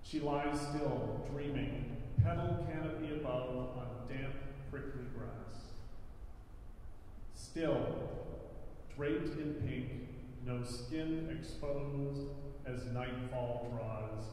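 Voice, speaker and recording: A middle-aged man reading short poems aloud in a measured, deliberate way, with pauses between phrases. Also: mid-range voice, dark tone. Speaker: poet reading haiku at a concert. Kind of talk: slow poetry recitation. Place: large wooden concert hall stage. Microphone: stand microphone, amplified in the hall. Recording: echoey concert hall, distant, faint noise.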